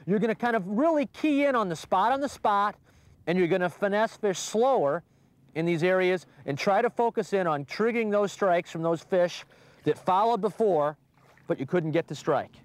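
Upbeat fishing host on a lake. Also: male voice, sits high. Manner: upbeat, coaxing the fish while reeling. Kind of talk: instructional outdoor fishing talk. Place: small boat on an open lake outdoors.

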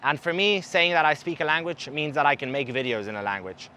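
A man's voice: on the high side, fairly quick. Young guy w a mixed kinda south african accent, chatting to camera on a balcony at night, faint city noise. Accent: mixed English, partly South African. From Israel. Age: young adult. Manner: animated, gestures with his hands. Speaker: travel vlogger. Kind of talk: casual talk to camera.

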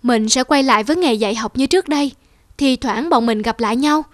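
A woman's voice: sits high, fairly quick, natural voice. A woman voicing a modest young male teacher in a cartoon folk tale, speaking sincerely and apologetically. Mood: apologetic. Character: modest, self-respecting young male teacher, gentle friend. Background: music.

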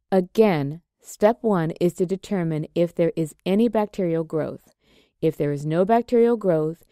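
Mellow woman narrating lab steps. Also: fairly low pitch, no room sound, clean studio sound. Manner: steady, measured, step-by-step explaining. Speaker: extension educator. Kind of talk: scripted instructional narration.

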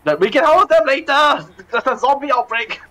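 Animated man player, jokey. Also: very high voice, a little fast. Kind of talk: playing a tabletop game online.

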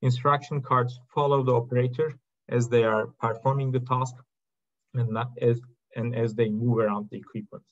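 A man giving a prepared technical slide presentation in a halting way, with frequent uhs between short phrases. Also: medium pitch. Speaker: presenter from a technology company's research and product team. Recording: dull, lo-fi video-call audio.

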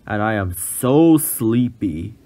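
A big, drowsy storybook elephant, read by a man. He talks very slowly, in a sleepy, yawning way. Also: fairly low pitch, expressive, mellow, a little hiss or hum, pitched below the performer's own voice.

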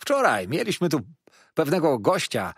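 A man's voice: fairly high pitch, huge pitch swings, moderate pace, husky, no echo, clean studio sound, pitched above the performer's own voice, exaggerated voice. A man voicing a sly, jovial, chatty manservant who exclaims theatrically in a storybook reading. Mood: ominous storytelling. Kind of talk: dramatised audiobook reading.

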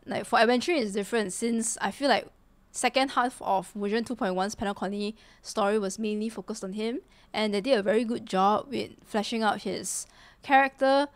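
A young woman in her twenties streaming from a small room into a desk mic, chatting casually with viewers in a slightly hoarse voice. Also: a little high, dry recording. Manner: chatty, greets viewers, many fillers. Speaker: livestreamer. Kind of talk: casual gaming stream chat. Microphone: desk mic in front of her. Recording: music playing behind the voice.

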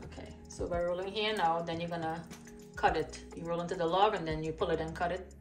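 A woman's voice: on the low side, steady tone, fast, soft background music. A woman hosting a home cooking show, chatting casually and asking lots of questions as she goes. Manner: chatty, asks many questions, restarts often. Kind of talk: casual kitchen chat. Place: home kitchen. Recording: roomy kitchen echo.

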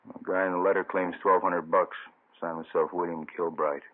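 An actor playing a businesslike police detective with an American accent, giving reports in a laconic, casual, slangy way in a 1950s radio drama. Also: male voice, low-pitched, flat delivery, normal speed. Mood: matter-of-fact. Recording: muffled narrow old radio recording.

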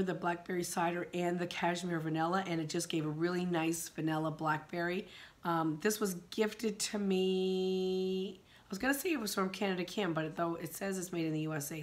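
A middle-aged woman, hoarse from a sinus infection, chatting casually about candles in her living room, rambling with many ums and restarts. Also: fairly low pitch. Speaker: vlogger. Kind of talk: casual chat reviewing candles.

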